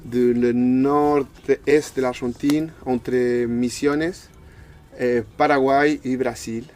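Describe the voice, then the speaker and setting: A man's voice: mid-range voice, level tone, takes it slowly, darkish tone, a little hiss or hum. An Argentine man in his thirties, a native Spanish speaker, talking casually in an interview and searching for words with a Spanish accent. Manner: hesitant, searching for words in a second language. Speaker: interview guest.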